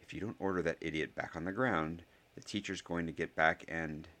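A man voicing a clever, determined young schoolboy who speaks deliberately in a storybook reading. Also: deep voice, animated, a bit bright. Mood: low warning.

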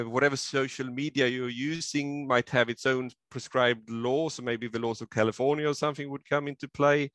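A middle-aged man speaks at a mid-range pitch, measured and explanatory, now and then restarting a phrase. His voice comes through a video call with a close, dry sound and almost no room echo. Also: fairly bright tone. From Sweden. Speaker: law professor, guest. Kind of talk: interview over video call. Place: home office with bookshelf.

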